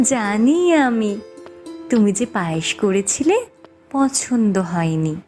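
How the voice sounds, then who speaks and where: Woman acting kindly, high-voiced fairy helper. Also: animated, drawn-out words, a little mellow, faint music behind. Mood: reassuring, knowing. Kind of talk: fairy-tale cartoon dialogue.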